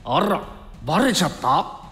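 An actor playing an easygoing, soft-hearted young fighter in an anime game, casual in speech yet earnest. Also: male voice, fairly high pitch, very animated, unhurried, full character voice. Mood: breezy. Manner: casual, breezy talk with an earnest core. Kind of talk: anime game cutscene acting. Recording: long reverb, music behind.

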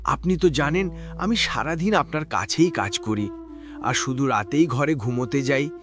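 Cartoon casting: honest, needy young village worker played by a man, respectful and earnest, very animated mid-range voice over light music. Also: medium pitch, normal speed, close to an everyday voice. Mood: worried. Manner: earnest, deferential. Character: poor, honest, humble young labourer seeking work. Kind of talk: Bengali moral-story cartoon dub. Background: background music.

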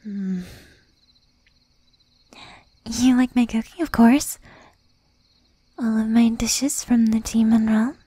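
A woman acting as a jealous, doting young demon girlfriend in a soft, breathy ASMR whisper. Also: a little high, steady tone, dry recording. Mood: shy, doting. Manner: slow, intimate, menacing yet doting. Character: jealous, possessive young female demon girlfriend, shy, tender.

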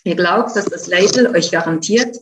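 A woman's voice: on the low side, moderate pace, a little hiss or hum, rough recording. A young woman from Switzerland presenting research results over a video call, with frequent hesitant fillers. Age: young adult. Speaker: science slam presenter. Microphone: video call, computer mic.

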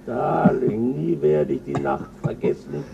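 An actor playing a crude, boastful male crewman on a spaceship, coarse and quick to flare up, in an old radio play. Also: mid-range voice, creaky, recorded from a distance, lo-fi sound, a loud crowd. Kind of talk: 1970s radio play acting.

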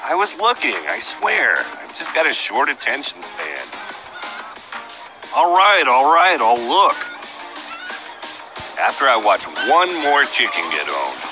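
An actor voicing a big, goofy, easily distracted best friend in a video game, laid-back and boastful. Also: male voice, mid-range voice, wildly swooping pitch, slow, long pauses, over music, heavily acted. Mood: sheepish.

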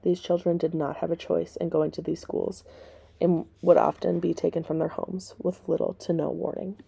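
A woman reading aloud scripted story and history narration for listeners in a measured, plain way. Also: on the low side, level tone, gravelly, mellow, slightly rough. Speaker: storybook reader and history presenter.

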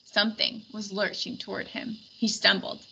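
A woman reading a storybook aloud in expressive scripted narration. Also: medium pitch, moderate pace, gravelly. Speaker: storybook reader. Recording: dull recording with faint background noise.

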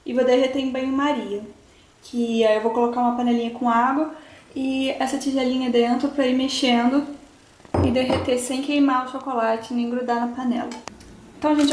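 A woman's voice: sits high, reverberant, noisy background. A young woman in her twenties from Brazil, chatting through a cooking tutorial in her home kitchen and explaining each step to her viewers. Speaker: cooking vlogger.

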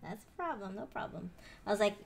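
A woman's voice: medium pitch, lively intonation, brisk, vocal fry. A woman in her thirties, a native Tagalog speaker, chatting casually on a live stream in a giggly, self-mocking way. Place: home room, indoors. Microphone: headset, webcam framing.

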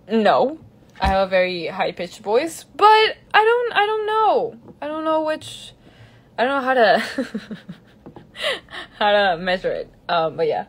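A young woman chats with fans on a livestream, giggling often and trading English for Spanish. She talks at an unhurried pace with a middling pitch. The sound comes from a phone held at arm's length in a large indoor room. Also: a bit bright. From Mexico. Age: young adult. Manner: giggly, chatty, switches between English and Spanish. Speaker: singer answering fans on a livestream. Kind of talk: casual livestream chat. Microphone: phone camera at arm's length.

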